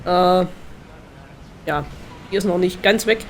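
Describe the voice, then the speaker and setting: Slow-paced, stuffy-nosed man commentating. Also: sits high, a little hiss or hum. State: slightly congested from a cold. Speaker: Let's Play host. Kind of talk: casual game commentary.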